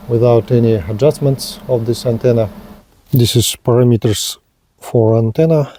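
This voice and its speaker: A man giving a hands-on gadget demonstration as a reviewer, speaking in short, halting, item-by-item phrases. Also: mid-range voice, dark tone, a little hiss or hum. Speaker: product reviewer, presenter.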